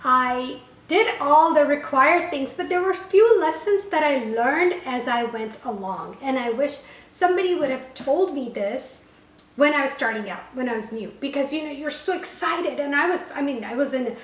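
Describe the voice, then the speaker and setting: High-voiced woman, roomy and distant. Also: lively intonation, moderate pace, a bit bright. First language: Gujarati. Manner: conversational, frequent "you know" and self-corrections. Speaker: online language teacher and business owner. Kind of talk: casual advice talk to camera. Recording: roomy echo, a little far from the mic.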